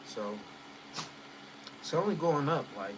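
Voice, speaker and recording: A man's voice: on the high side, animated, slow, background noise. A man in his early thirties chatting loosely at home with viewers, rambling, full of fillers and swearing. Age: 30s. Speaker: channel host chatting with viewers. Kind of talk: casual livestream chat.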